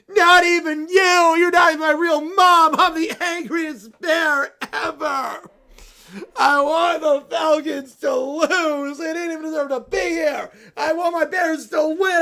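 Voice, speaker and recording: A woman voicing a furious little boy teddy bear, wailing and shouting his complaints through sobs. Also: on the high side, creaky, pitched below the performer's own voice, exaggerated voice.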